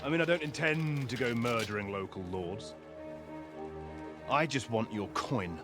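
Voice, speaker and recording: Man as slow, taunting bandit chief. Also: mid-range voice, music in the background, close to an everyday voice. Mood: mocking. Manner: taunting, drawling threats. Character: menacing, mocking medieval bandit chief. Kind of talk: dramatic video game acting.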